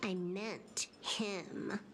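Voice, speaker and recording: Sly, slow-talking outlaw woman. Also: mid-range voice, fairly even intonation. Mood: playful. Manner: teasing, cool, sarcastic. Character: sly, teasing, self-assured female outlaw. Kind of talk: video game character acting. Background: clear background music.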